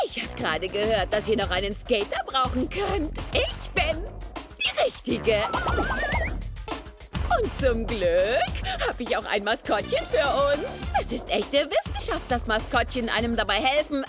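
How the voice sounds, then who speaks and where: A woman's voice: fairly high pitch, very animated. A woman playing a gleefully scheming cartoon villainess who poses as a teenage skater girl, theatrical and gloating, over music. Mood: fake cheer. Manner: theatrical, gloating, drawn-out delivery. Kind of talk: German cartoon dub. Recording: loud music behind the voice.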